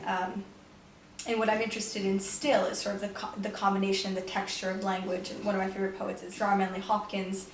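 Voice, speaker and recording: A young woman poet, raised in New York with Romanian as her first language, answering interview questions in a thoughtful, reflective way with frequent hedges and restarts. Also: mid-range voice. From New York, United States. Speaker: poet, prize winner. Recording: echoey room, voice from far off, faint noise.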